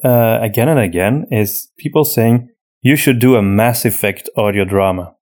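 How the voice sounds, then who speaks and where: A man's voice: on the low side, normal speed, darkish tone. A man co-hosting a podcast, chatting casually as he answers listener questions. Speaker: podcast host and audio drama writer.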